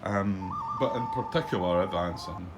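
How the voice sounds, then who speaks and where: Middle-aged Scottish man, lowish voice, home-desk wargame host, rambling and hesitant. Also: normal speed, heavy background noise. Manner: rambling, hesitant, lots of ums and restarts. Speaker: board wargame channel host. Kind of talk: casual commentary on a solo board game playthrough.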